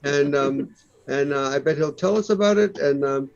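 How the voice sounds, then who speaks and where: A man's voice: on the high side, normal speed. A male host of an online open mic, friendly and encouraging as he thanks performers and introduces the next one. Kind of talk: casual hosting chat. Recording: slightly dull lo-fi call audio.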